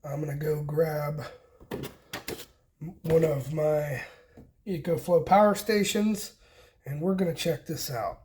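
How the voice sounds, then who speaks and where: A man with a folksy, unhurried way of thinking aloud as he hosts a casual hands-on DIY demonstration. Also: on the high side, a little mellow, a little hiss or hum. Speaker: host of a homesteading DIY vlog.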